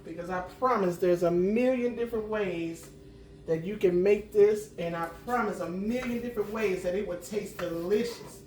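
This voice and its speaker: A woman, slightly hoarse from a cold, chatting homily as she cooks and clearing her throat now and then. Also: medium pitch, normal speed, darkish tone, a little hiss or hum. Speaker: home cooking channel host. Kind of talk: casual cooking chat. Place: home kitchen. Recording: roomy kitchen sound from a distant mic.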